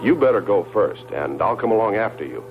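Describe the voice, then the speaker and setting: A man's voice: fairly low pitch, sing-song, fairly quick. An actor playing a doubtful, wry spaceman in an old science fiction film, heard through a radio-like filter. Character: doubtful, wry male spaceman on a hostile planet. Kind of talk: 1960s dubbed science fiction film acting. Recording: tinny radio-like old film track, noisy.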